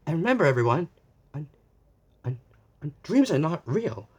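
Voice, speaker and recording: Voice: medium pitch, sing-song, moderate pace, breathy edge. A story time host reading a storybook aloud to young children, with exclamations, asides and repeated phrases.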